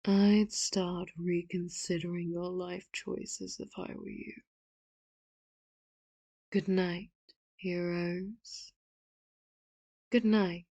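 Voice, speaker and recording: A woman's voice: lowish voice, husky, no echo, full character voice. A woman playing a whispering, doting villain. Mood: grandstanding. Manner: slow, hushed, often whispered, turns sharp and loud for threats. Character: doting, manipulative villain, soft-spoken and menacing. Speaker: ASMR roleplay performer. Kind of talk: ASMR audio roleplay.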